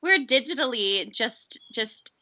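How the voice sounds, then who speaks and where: A woman's voice: a little high, animated, slow pace, bright, forceful tone, lo-fi sound. A woman chatting casually and enthusiastically as a guest on a podcast. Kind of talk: casual chat.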